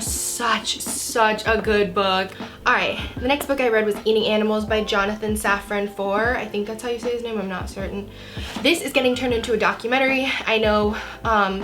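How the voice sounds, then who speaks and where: A young American woman, around twenty, chats informally in the style of a book vlogger. She has a cold and hedges as she goes, at an ordinary pitch for a woman. Faint music plays behind her voice. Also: a bit bright. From Florida, United States. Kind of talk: casual chat to camera.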